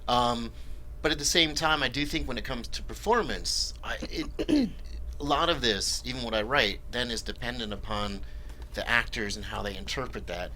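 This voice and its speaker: Bright-voiced man, rambling conversationally. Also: mid-range voice, a little hiss or hum, poor audio. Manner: rambling, self-interrupting. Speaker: screenwriter being interviewed. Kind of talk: casual panel discussion.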